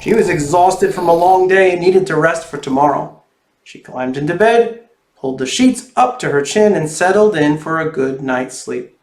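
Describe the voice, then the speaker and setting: A man's voice: on the high side, normal speed. A man who is a children's author, reading a storybook expressively and talking directly to young viewers. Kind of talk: animated storybook read-aloud and friendly address to children. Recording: roomy, echoey sound.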